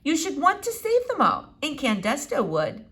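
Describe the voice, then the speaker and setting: A woman's voice: high-pitched, huge pitch swings, moderate pace, fairly bright tone, voice pushed up, exaggerated voice. A woman voicing an earnest, dramatic young girl in a children's storybook, speaking bluntly and emphatically. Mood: indignant. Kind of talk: children's novel read-aloud character voice.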